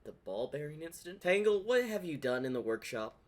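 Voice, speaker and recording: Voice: medium pitch, big pitch swings, brisk. A reaction host commenting on a comic dub, thinking aloud and repeating phrases.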